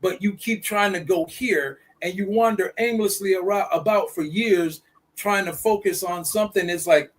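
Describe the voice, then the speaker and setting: A man holds forth in an easygoing discussion. His voice sits high for a man. He circles back and repeats his key phrases with a preacher's rhythm. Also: normal speed. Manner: preachy, repeats points for emphasis. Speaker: guest speaker. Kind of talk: casual discussion.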